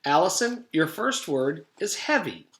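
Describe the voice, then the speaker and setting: A man voices a chirpy storybook schoolmistress. She is brisk, fair and encouraging, pitched up and bright, with an exclamatory classroom way of talking and an echo around her. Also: very animated, a little hiss or hum, heavily acted. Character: chirpy, fair-minded woman schoolteacher addressing young pupils. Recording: echoey room.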